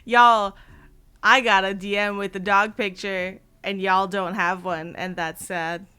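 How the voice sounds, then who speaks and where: Hoarse, giggly woman streamer. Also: mid-range voice, level tone, unhurried, pushed and bright. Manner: giggly, chatty, talks to chat. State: hoarse, partly lost voice. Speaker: streamer host. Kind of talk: casual livestream chat.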